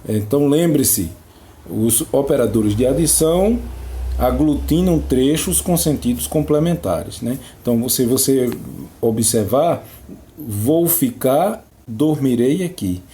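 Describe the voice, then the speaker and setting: Guy teaching grammar real slow, soft mellow voice, keeps checking in with the class. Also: mid-range voice, lively intonation, slight background noise. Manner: slow, explanatory, repeats examples with check-ins. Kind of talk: screen-recorded grammar lesson. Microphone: phone or computer mic.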